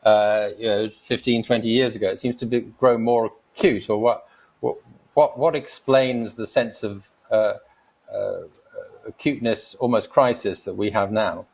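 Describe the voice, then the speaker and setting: A man moderating a panel, asking probing questions with lots of halting restarts. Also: lowish voice, steady tone, vocal fry, darkish tone, dull audio.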